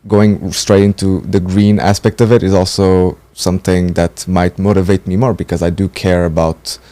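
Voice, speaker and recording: A man's voice: low voice, flat delivery, moderate pace, mellow, no echo. A man in his twenties from Portugal, speaking fluently and thoughtfully in a casual conversation. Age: 20s. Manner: fluent and thoughtful, building longer sentences. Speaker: training participant.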